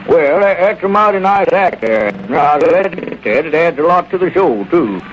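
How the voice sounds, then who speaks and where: Hesitant country circus manager, stammering, a bit high, dull old radio sound. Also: male voice, expressive, a little slow, faint music behind. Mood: folksy. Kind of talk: 1930s radio comedy acting.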